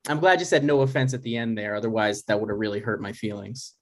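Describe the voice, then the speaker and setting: A man's voice: fairly low pitch, quick pace, lightly acted. A man playing a neurotic, touchy son in his thirties, argumentative and dry, in a scripted comedy table read. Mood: deadpan. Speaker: writer and actor in a table read.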